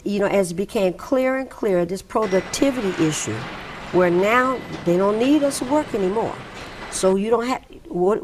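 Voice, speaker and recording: A woman's voice: fairly high pitch, darkish tone. A woman organizer speaking emphatically in an interview, building her point with rhetorical questions. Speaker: welfare rights organizer. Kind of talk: interview testimony. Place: dark studio, indoors.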